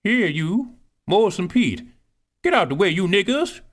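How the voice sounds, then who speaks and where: A man voicing a proud, bustling older woman cook, speaking in high, swooping tones. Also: a little slow, exaggerated voice. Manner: animated, scolding, swoops high. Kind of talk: audiobook character reading.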